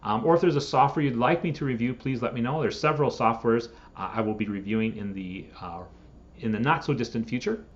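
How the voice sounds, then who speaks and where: A man's voice: mid-range voice, fairly quick. A man hosting a scripted explainer video, speaking directly to viewers and posing rhetorical questions. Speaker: host of a screenwriting software channel. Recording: dull, lo-fi sound.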